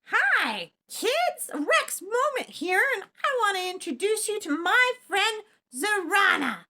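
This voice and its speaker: A woman voicing a chirpy, upbeat host character on a children's TV show, introducing a guest in a squeaky, sing-song voice. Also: big pitch swings, slow pace, bright, forceful tone, faint music behind, exaggerated voice. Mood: cheerful. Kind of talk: scripted kids' TV introduction.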